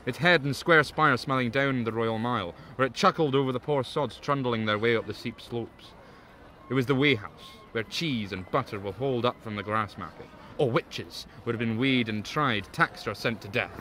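A man's voice: medium pitch, normal speed, bright, forceful tone, natural voice. A man playing a grim ghostly tour guide, narrating in a sinister, theatrical storytelling style. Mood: grim, wry. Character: grim ghostly guide to old Edinburgh's bloody past.

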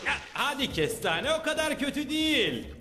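A man's voice: very high-pitched, sing-song, moderate pace. A man voicing a goofy, overconfident blue male superhero in a dubbed cartoon, jokey and bouncy. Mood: cheerful. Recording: echoey reverb with music.

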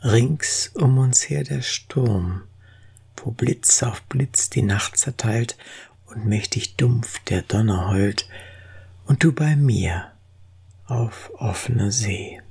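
Slow, husky, breathy poetry reader. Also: medium pitch. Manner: solemn, expressive, deliberate phrasing. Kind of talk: dramatic poetry recitation.

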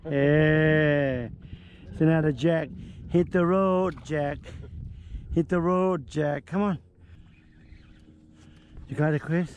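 A middle-aged man chatting excitedly while fishing from a small boat outdoors, whooping and laughing at each catch, recorded on an action camera. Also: a little high, slow, long pauses, slight background noise. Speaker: fishing vlogger.

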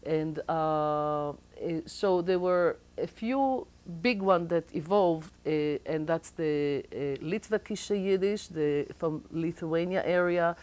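A woman's voice: fairly low pitch, slow pace, hardly any pauses, a little mellow. A middle-aged Israeli woman with an Israeli accent, explaining as a guest in a TV studio interview, with many thoughtful hesitations.

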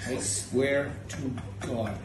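A man's voice: mid-range voice, very slow, far from the mic. A man in his thirties with a Cork Irish accent, hosting a pub tasting show in cheeky, teasing banter. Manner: cheeky, teasing, swears freely. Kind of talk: casual banter while tasting drinks. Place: indoor pub bar. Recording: echoey pub room with faint noise.

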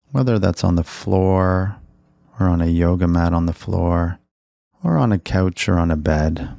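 A man leading a guided body scan meditation in a measured, gentle, instructional way. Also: low voice, creaky, no echo, studio quality.

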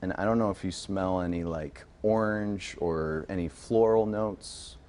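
A man's voice: deep voice, measured pace, darkish tone. A man in his thirties, a guest in a TV studio, explaining things informally like a sommelier and often checking with a "right?". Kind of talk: casual live TV wine demonstration. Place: TV news studio.

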